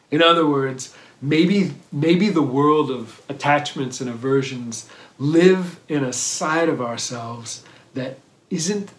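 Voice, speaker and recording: Man, meditation teacher, unhurried halting dharma talk, mid-pitched voice, roomy echo. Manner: thoughtful, halting, often asks "right?".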